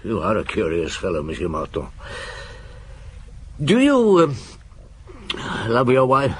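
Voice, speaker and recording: An actor playing a weary, skeptical middle-aged police chief inspector in a radio drama, probing with grunts and pauses. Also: male voice, fairly low pitch, wildly swooping pitch, a little hiss or hum. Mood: measured, probing.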